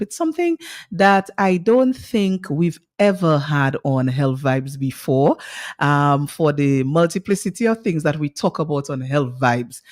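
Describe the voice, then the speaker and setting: A woman's voice: low voice, darkish tone, no room sound, studio quality. A woman hosting a radio health talk show, speaking in a friendly, rambling way with restarts.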